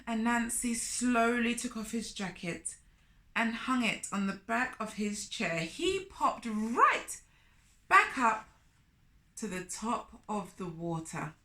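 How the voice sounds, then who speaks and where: A woman's voice: on the high side, expressive, slow, sharp tone. A woman telling a story to young children expressively, with exclamations and questions to the listener. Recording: echoey room.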